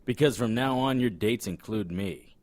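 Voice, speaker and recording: An actor playing a serious, plain-spoken 1930s private detective in a noir audio drama. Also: male voice, mid-range voice, moderate pace, dry recording, lightly acted. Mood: polite, firm. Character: earnest, dutiful 1930s private detective, a man. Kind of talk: noir audio drama acting and first-person narration. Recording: music behind the voice.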